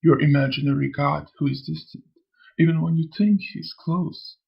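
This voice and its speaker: A man voicing a sly, amused old spirit in a motion comic, lecturing theatrically in a gravelly, husky voice. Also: fairly high pitch, fairly even intonation, moderate pace, dull audio, heavily acted. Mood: preaching. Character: sly, amused old male spirit who preaches and taunts. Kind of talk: scripted motion comic dialogue.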